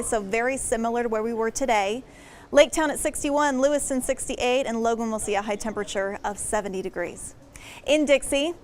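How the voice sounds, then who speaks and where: Female weather forecaster, live TV delivery, slightly high voice. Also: slight background noise.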